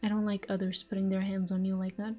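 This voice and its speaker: A woman playing a possessive, teasing fantasy villain in an intimate audio roleplay, with a soft, breathy voice and a smug manner. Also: medium pitch, monotone, fast talker, dull audio, soft background music, lightly acted. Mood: jealous, teasing. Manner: smug, taunting, breathy murmurs. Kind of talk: intimate scripted audio roleplay addressed to the listener.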